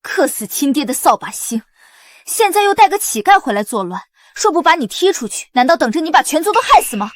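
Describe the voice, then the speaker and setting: A woman's voice: sits high, sing-song, a little fast, no echo, clean studio sound. An actress playing a spiteful young noblewoman who sneers and gloats as she disowns a relative. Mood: scornful. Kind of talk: short-drama acting.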